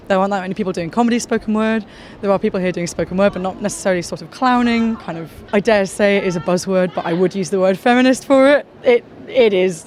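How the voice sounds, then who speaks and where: A woman's voice: mid-range voice, a little mellow, slight background noise. A woman from around Liverpool, a spoken word comedian, chatting candidly with frequent laughs in a street interview outdoors. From around Liverpool, northern England.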